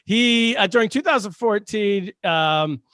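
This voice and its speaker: A man's voice: high-pitched, measured pace, a bit bright, no room sound, studio quality. A man hosting a comedy podcast, introducing a guest with teasing jokes in a casual, joking manner. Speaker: podcast host.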